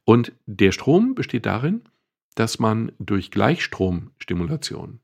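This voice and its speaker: A low, gravelly man with a husky edge to his voice, talking his way carefully through explanations in a relaxed podcast chat. He circles back on his words and slips in dry humor. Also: slow. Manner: thoughtful, explains at length with restarts and dry humor. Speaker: podcast co-host.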